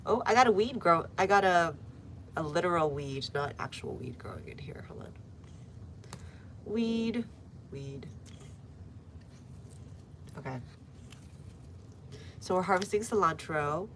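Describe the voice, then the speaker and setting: Stuffy-nosed low-voiced woman outdoors. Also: lively intonation, measured pace, long pauses, fairly bright tone, a little hiss or hum. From United States. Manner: chatty, reads viewer comments aloud, frequent fillers. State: stuffy nose. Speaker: gardening livestreamer. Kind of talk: casual live-stream chat. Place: outdoor front garden.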